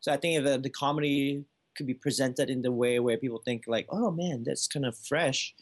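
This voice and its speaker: A man's voice: mid-range voice, moderate pace. A man in his thirties from Malaysia, a juggler answering interview questions casually with lots of fillers. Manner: easygoing, lots of "like" fillers and restarts. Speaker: juggler, guest.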